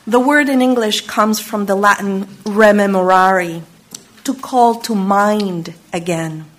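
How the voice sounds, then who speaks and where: Woman speaker, unhurried and solemn, sermon delivery. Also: mid-range voice. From Chile. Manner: measured, solemn, lingering on single words. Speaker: Unitarian Universalist speaker. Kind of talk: slow reflective sermon.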